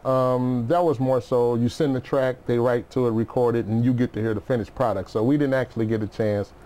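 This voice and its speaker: A man's voice: mid-range voice, fairly even intonation, fairly quick, dark tone. A middle-aged man speaking as an interview guest, chatting casually and informally with slangy storytelling. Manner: informal, slangy storytelling, often says you know what I mean. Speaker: interview guest, music producer. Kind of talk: casual chat.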